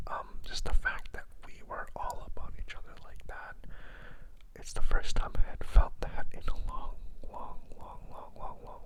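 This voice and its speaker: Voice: extremely high pitch, low-quality recording. Whispering confessor, downcast, hesitant, rambling, repeats phrases. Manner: whispering throughout. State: sad and downcast.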